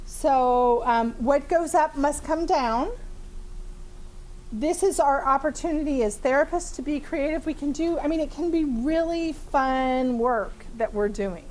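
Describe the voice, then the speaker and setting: A woman therapist with a big voice leading a live training demonstration in a room with a small audience, chatty and lively, laughing often, with many fillers. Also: sits high, unhurried, low-quality recording.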